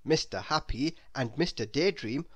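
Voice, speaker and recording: A woman reading a children's story aloud in an expressive, deliberate manner. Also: a bass voice. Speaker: storybook reader. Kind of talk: children's read-aloud narration.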